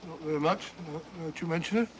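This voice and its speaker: Casting: man to play self-important older English doctor, high voice, flustered stammering bluster, old film with faint hiss. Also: lightly acted. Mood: flustered, grumbling. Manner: blustery, halting, repeats himself. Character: bumbling, genial, self-important older doctor, detective's loyal friend. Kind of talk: 1940s British mystery film acting. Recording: hissy old film soundtrack.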